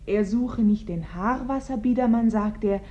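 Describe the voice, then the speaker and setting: An actress playing a flustered, deferential housemaid in a German radio play, anxious and apologetic. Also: female voice, medium pitch, normal speed, dark tone, close to an everyday voice. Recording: dull, band-limited radio play recording.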